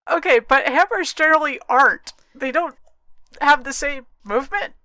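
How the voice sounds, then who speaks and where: A woman's voice: high-pitched, moderate pace, bright, forceful tone, no room sound. A woman co-hosting a podcast, debating books and films casually in an assertive, matter-of-fact way. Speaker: podcast co-host. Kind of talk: casual book and film discussion.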